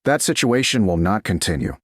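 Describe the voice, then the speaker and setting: Man voicing a stern low-pitched duke. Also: big pitch swings, brisk, no room sound, clean studio sound, exaggerated voice. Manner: clipped, certain, insistent. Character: stern commanding adult male duke, wounded but controlled.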